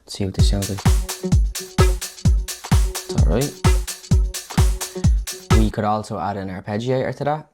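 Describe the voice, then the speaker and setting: A man's voice: fairly low pitch, a little slow, pauses often. A man giving a casual, unscripted music production tutorial, thinking aloud as he works.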